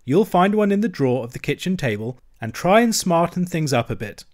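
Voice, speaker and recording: A man voicing a cheerful, bustling male water rat who talks in a brisk, hearty, encouraging way. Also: on the high side, big pitch swings, a little mellow. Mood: cheery.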